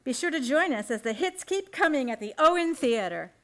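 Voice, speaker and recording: A woman with a high voice presents a theater promo. She delivers scripted lines as acted dialogue for a radio advert. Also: expressive, fairly quick.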